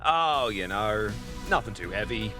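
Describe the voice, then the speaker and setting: A man's voice: on the low side, lively intonation, unhurried, over music. A man playing a roguish, flippant space captain in a video game, glib, wry and flirtatious in delivery. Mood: glib, teasing.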